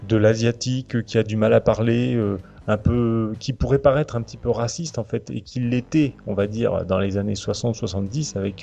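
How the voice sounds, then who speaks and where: A man's voice: mid-range voice, dark tone. A man hosts a semi-prepared film discussion podcast, often hesitating as he searches for words. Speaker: podcast host. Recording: dull lo-fi audio, music behind. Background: clear background music.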